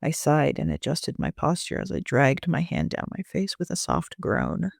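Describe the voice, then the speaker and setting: A man's voice: a little high, huge pitch swings, moderate pace, gravelly, no room sound, clean studio sound. A man reading scripted first-person story narration as an amateur author.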